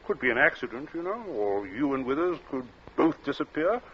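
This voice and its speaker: An actor playing a cold, urbane captain in an old radio thriller, speaking in a suave, measured way with polite menace and small hesitant asides. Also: male voice, medium pitch, very animated, close to an everyday voice. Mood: coldly threatening. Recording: dull, noisy vintage radio recording.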